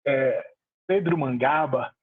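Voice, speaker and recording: A man's voice: mid-range voice, slow, slightly rough, no echo, phone-quality sound. A man from Salvador, a music producer talking in an online panel, heartfelt and rambling with many fillers. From Salvador, Bahia, Brazil. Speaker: music producer, debate guest.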